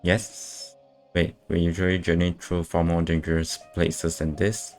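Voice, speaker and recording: A man streaming a game with casual, low-key, muttered commentary and offhand swearing. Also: little pitch movement, a little slow, soft background music. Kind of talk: casual live playthrough commentary.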